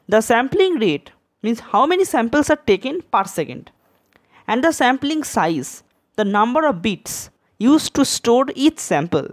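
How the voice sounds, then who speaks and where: A woman presenting a tutorial in a scripted, educational narration style. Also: mid-range voice.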